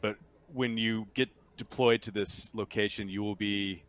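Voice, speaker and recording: A man briefing players as game master of a tabletop roleplaying game, explaining informally and haltingly with many pauses. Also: on the low side, fairly even intonation, a bit bright.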